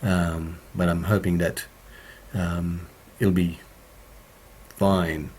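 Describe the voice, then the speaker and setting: A man with a slightly croaky voice hosting a casual live stream from his home studio, talking slowly with many pauses and fillers. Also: sits low, little pitch movement, a little mellow, a little hiss or hum. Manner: slow and halting, many fillers. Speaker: home studio streamer answering viewer questions.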